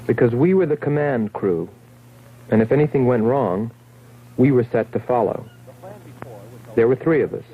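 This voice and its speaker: An actor playing a grave astronaut who narrates a space mission in a scripted 1960s science fiction film. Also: male voice, medium pitch, expressive, normal speed, pauses often, natural voice. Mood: somber, reflective. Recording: muffled, noisy old 1960s film soundtrack.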